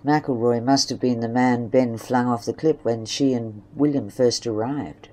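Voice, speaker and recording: A woman's voice: rumbling low, steady tone, unhurried, warm tone. A woman reading scripted narration for an audio drama story.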